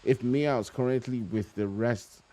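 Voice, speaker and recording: A man's voice: mid-range voice, a little slow, a little hiss or hum, rough recording. A man casting a live mobile shooter tournament, talking to viewers in long running phrases with chatty asides. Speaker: esports caster. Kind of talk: live play-by-play commentary. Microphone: home streaming mic. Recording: live stream with loud game gunfire behind. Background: game gunfire and clicks.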